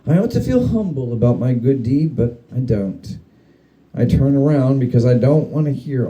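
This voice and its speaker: A man's voice: medium pitch, mellow, low-quality recording. A man giving a dramatic reading of a humorous spooky story, with wry, theatrical and emphatic phrasing. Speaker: story reader.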